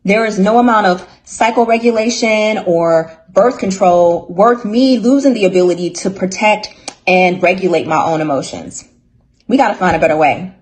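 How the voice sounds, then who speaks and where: A woman's voice: mid-range voice, normal speed, darkish tone, a little hiss or hum, low-quality recording. A woman speaking earnestly and resolutely in a short social media monologue to her followers.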